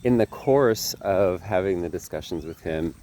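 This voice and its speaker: A man's voice: deep voice, normal speed, warm tone, a little hiss or hum. A man who teaches yoga, talking casually to camera, a little nasal from a sinus infection, earnest and rambling, often correcting himself.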